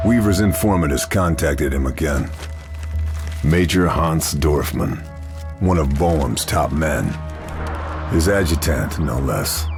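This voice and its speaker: Very deep-voiced stoic sniper. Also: male voice. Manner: deadpan, measured. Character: stoic, terse American wartime sniper. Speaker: voice actor playing a game protagonist. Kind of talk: scripted game dialogue and briefing narration. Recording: dramatic music behind the voice.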